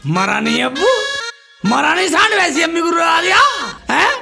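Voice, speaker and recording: A man's voice: very high voice, very animated, moderate pace, gravelly, music in the background, heavily acted. A man playing a dim-witted, melodramatic married son in a broad comedy skit, naive and exaggerated. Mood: wailing, dramatic. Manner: exaggerated loud wailing and naive questioning.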